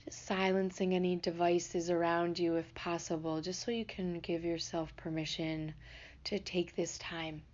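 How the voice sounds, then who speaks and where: A woman leading a guided meditation in a gentle, unhurried, soothing manner. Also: lowish voice, little pitch movement, muffled recording. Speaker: meditation teacher.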